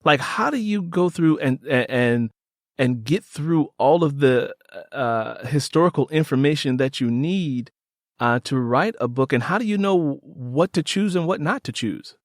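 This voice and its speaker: A man's voice: a little high, animated, measured pace, no room sound, clean studio sound. A man hosting a podcast interview in an enthusiastic, expansive conversational way.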